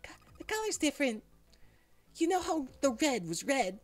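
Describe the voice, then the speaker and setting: A man's voice: extremely high pitch, very animated, moderate pace, faint music behind, pitched above the performer's own voice. A man voicing a bubbly, bratty anime girl character in a video game, with a sing-song manner.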